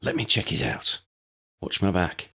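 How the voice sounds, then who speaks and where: A man voicing a bold, protective and practical male friend in an audiobook story, hushed and decisive. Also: deep voice, sing-song, measured pace, vocal fry, husky, full character voice. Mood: hushed, resolute.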